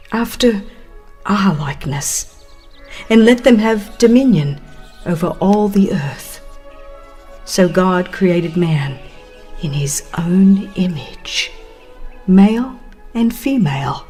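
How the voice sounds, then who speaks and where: A woman reading a religious book as a dramatized audiobook narrator, in a solemn, measured and expressive manner. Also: mid-range voice.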